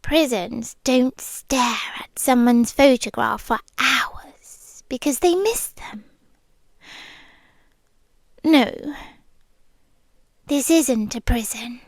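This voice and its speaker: Casting: woman to play possessive British vampire girlfriend for ASMR roleplay. High, hushed, breathy voice, very slow delivery, lovesick and ancient. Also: dry recording, heavily acted. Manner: hushed, breathy, slow and lingering, often whispering. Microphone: close microphone, dry.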